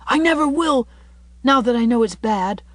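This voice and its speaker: A woman's voice: mid-range voice, sing-song, normal speed, dry recording, voice pushed up. A woman voicing a mischievous but earnest little boy from a storybook, talking in frank, childish colloquial speech. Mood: remorseful, pleading. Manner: childish colloquial talk, dropped syllables.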